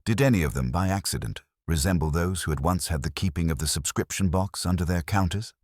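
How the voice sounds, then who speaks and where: A man narrating plays a courteous police inspector in a detective story. He is very deep and husky, asking his questions with measured tact and dry, understated politeness. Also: no room sound. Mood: gently probing. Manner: measured, tactful, dryly polite.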